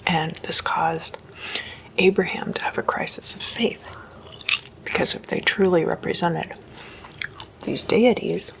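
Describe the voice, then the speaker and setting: A woman giving a whispered ASMR talk, explaining stories in a hushed, unhurried and informal way. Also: lowish voice, gravelly. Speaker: host of a spooky ASMR series.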